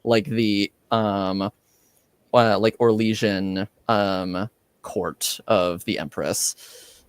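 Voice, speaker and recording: A man improvising as game master in a tabletop roleplaying game, hesitant and halting as he searches for words. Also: lowish voice, steady tone, clean tone, no echo.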